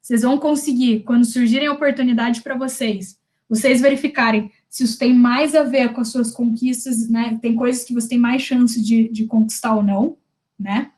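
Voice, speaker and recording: A young woman with a Brazilian Portuguese accent talks through a career exercise for a group. Her voice sits a little high. Her talk is loose and slangy, full of restarts. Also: fairly even intonation, moderate pace, darkish tone. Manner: casual and slangy, with frequent restarts. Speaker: career workshop presenter. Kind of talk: informal workshop talk.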